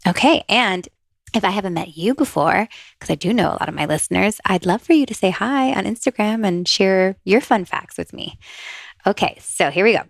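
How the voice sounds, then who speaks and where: A woman's voice: medium pitch, animated, moderate pace, vocal fry, no echo, clean studio sound. A woman hosting her own podcast in a chatty, upbeat way, often laughing between thoughts. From USA, New Jersey born, raised in Miami. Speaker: podcast host and intuitive reader. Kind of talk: casual solo podcast chat.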